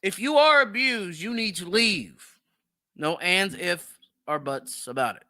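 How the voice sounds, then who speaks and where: Animated, high-pitched man giving his take. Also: unhurried, no room sound, clean studio sound. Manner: emphatic, repeats phrases for effect. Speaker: podcast host. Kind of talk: opinionated solo commentary.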